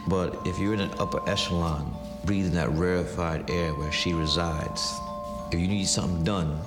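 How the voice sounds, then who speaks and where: A man's voice: deep voice, fairly even intonation, normal speed. A man interviewed for a behind-the-scenes film feature, explaining his work in a reflective way. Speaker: filmmaker interviewee. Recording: echoey room with music bed.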